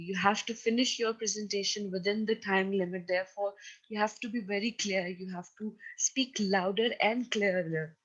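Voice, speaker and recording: A woman's voice: medium pitch, a bit bright, rough recording. Pakistani woman giving an emphatic lecture. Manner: instructive, emphatic, checks in with listeners. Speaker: teacher. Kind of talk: recorded lecture on presentation skills.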